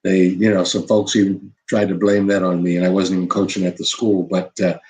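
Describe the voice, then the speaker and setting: An older man, a former basketball coach, telling stories from his career in an unhurried interview, full of "you know" and restarts. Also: low-pitched, level tone, a little mellow. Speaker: former college basketball head coach, guest. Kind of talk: reflective interview storytelling.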